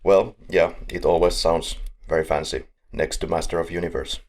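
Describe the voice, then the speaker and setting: A man's voice: low-pitched, steady tone, normal speed. A man from Finland, hosting a podcast in a casual, upbeat and joking way. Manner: upbeat, joking, laughs between lines. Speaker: podcast host. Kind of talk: casual chat.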